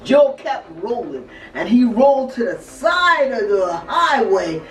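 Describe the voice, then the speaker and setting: An older woman telling a story in an animated, dramatic way, repeating words and phrases as she goes. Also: on the high side, a little slow. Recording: roomy echo, distant mic, music behind.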